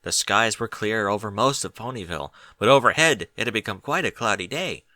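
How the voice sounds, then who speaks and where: A man narrates a storybook tale in a bright, forward voice. His pitch moves up and down in a lively, expressive way, and the sound is close and dry. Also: brisk, no echo. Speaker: audiobook narrator reading fan fiction.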